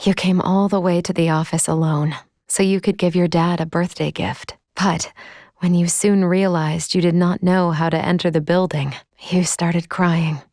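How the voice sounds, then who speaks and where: An actress playing a calm, analytical android companion in a video game, gently caring, with formal, precise diction. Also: female voice, lowish voice, moderate pace, no room sound, studio quality, close to an everyday voice. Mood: tender, reflective. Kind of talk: video game character dialogue.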